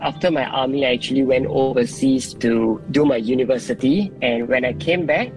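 A man's voice: mid-range voice, moderate pace, a little mellow, poor audio. A man, explaining his work as a guest in a radio interview. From Singapore. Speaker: coach, phone-in guest. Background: music bed.

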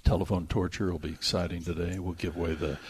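Low-voiced, husky man hosting radio. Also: level tone, fast, no echo. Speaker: radio movie show host. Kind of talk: live radio banter and sponsor reads.

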